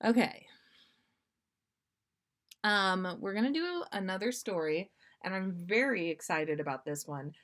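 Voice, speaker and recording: A woman's voice: medium pitch, lively intonation, measured pace, long pauses, fairly bright tone. A woman podcast host chatting casually on a livestream, giggly and self-deprecating between story readings.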